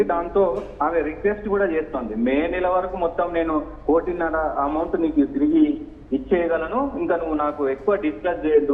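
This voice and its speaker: A man reporting and analysing news unscripted, in a halting, repetitive way. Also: a little high, a little hiss or hum. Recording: echoey hall, muffled phone-like line.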